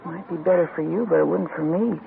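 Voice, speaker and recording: A woman's voice: lowish voice, fast, creaky, background noise. An actress playing a guarded female informant in an old radio drama, blunt and clipped. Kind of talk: 1950s radio drama acting. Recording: tinny lo-fi old radio broadcast.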